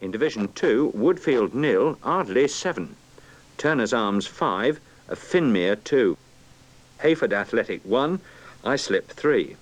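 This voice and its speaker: British male reader, unhurried, mid pitch, understated. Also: lively intonation, low-quality recording. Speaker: radio results reader. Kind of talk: reading football results live.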